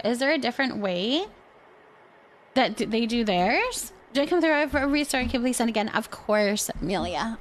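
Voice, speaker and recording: A woman chatting casually while streaming a game, thinking out loud as she talks to her viewers. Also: fairly high pitch. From California, United States. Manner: chatty, thinking aloud to herself. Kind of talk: casual gameplay stream chat.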